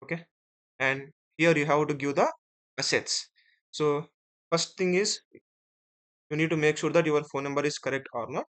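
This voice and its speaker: A man teaching as an instructor in a screen-share software tutorial, explaining step by step and often checking in with short tag words. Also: fairly high pitch, fairly even intonation, normal speed, pauses often. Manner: step-by-step, often ending phrases with "right?" and "okay".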